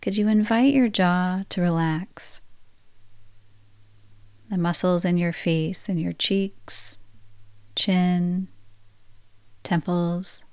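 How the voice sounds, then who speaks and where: A woman guiding a yoga nidra relaxation practice, speaking in slow, soft, soothing phrases. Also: fairly low pitch, steady tone, smooth, dry recording. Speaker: meditation guide.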